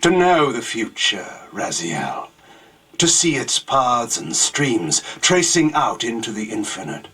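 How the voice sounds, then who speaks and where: Ancient vampire lord, husky, slow and grand, lively swooping delivery, game audio from a distance. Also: male voice, medium pitch, full character voice. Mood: grandiose. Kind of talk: video game cutscene acting.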